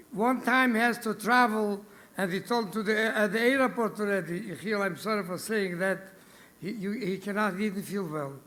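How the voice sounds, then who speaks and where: Halting, effusive man with a high voice. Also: a bit bright. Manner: halting, repeats himself, effusive. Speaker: host introducing the keynote speaker. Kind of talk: emotional introduction speech. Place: banquet hall at a gala dinner.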